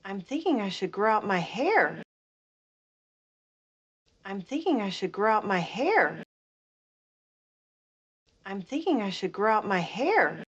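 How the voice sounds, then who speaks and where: An actress playing a film character who muses aloud about a change, heard on dull, lo-fi film audio. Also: female voice, mid-range voice, sing-song, slow, long pauses, husky, full character voice. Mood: musing. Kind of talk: film dialogue.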